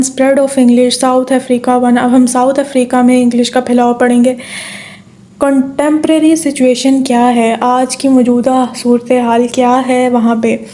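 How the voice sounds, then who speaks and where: A woman's voice: high-pitched, warm tone. A woman giving an exam-prep lecture, explaining step by step, asking and answering her own questions, with frequent fillers and check-ins. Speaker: tutor. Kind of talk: exam-prep lecture in Urdu-English mix.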